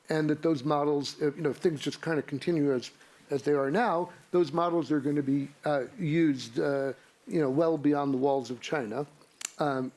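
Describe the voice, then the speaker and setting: A man answering on a conference panel, halting, with many fillers and stuttered restarts. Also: a little high, a little mellow. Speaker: panelist investor.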